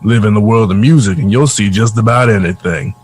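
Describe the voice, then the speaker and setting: A man's voice: fairly low pitch, brisk, slight background noise, close to an everyday voice. A man acting in an audio drama as a wry old former musician who is a kindly mentor. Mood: wry, encouraging. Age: older.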